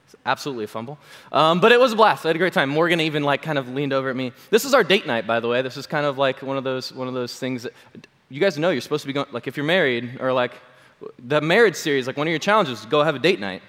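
A man, a pastor, giving a chatty Sunday sermon with a slightly hoarse voice and many fillers. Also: mid-range voice, expressive, fast, fairly bright tone. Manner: chatty, self-deprecating, full of fillers. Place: church hall. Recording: long hall reverb.

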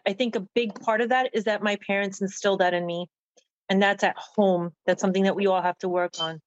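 A woman from the United States introduces herself to a panel in an earnest, somewhat hesitant way. The sound is dry and muffled, with no room echo at all. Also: medium pitch. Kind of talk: self-introduction.

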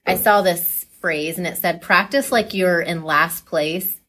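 A woman talking casually and enthusiastically as a coach being interviewed, chatty and quick to laugh. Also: lowish voice, fairly bright tone.

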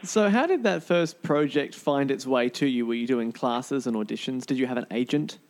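A man's voice: medium pitch, moderate pace, darkish tone. A man hosting a podcast interview in a polished presenting style.

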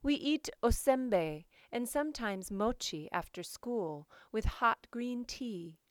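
A woman reads in a literary, scripted style, with slow and deliberate phrasing. Her voice is clear and smooth, sitting at a medium pitch. The sound is dry, with very little room around it. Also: dry recording. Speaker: poetry show host and reader. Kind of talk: literary poetry reading, scripted introduction.

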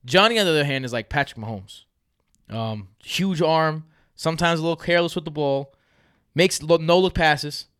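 A man's voice: fairly high pitch, moderate pace, clean tone, dry recording, clean studio sound. A man, hosting a fantasy football podcast in loose, joking chat with his brother. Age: 30s. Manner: animated, laughs often, loose and joking.

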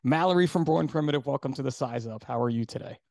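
A middle-aged man from New Jersey hosting a podcast in casual chat, hoarse today and rambling with fillers. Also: medium pitch, quick pace, darkish tone, no echo, clean studio sound. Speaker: podcast host.

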